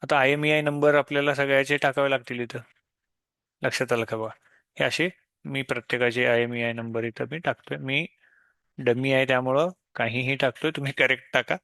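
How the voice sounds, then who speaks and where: Man giving a software walkthrough. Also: medium pitch, fairly even intonation, a little fast, no room sound. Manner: explanatory, poses questions and answers them himself. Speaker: software demo presenter. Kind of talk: step-by-step software tutorial, unscripted.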